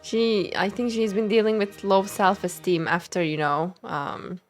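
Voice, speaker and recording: A woman, a non-native English speaker, giving casual, expressive live game commentary and chatting playfully with viewers. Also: mid-range voice, a little slow, faint music behind. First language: not English. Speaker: game streamer.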